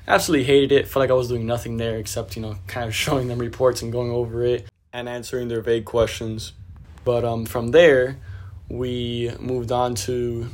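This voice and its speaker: A man's voice: mid-range voice, little pitch movement, normal speed. A young man co-hosting a podcast, laid-back and confident, talking in long run-on sentences. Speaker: podcast co-host. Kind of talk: casual podcast chat.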